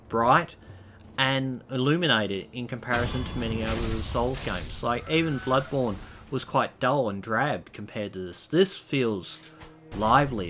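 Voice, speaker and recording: A man getting over a cold, sounding slightly nasal, gives a rambling, enthusiastic spoken game review. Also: mid-range voice. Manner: rambling and enthusiastic, with loose asides.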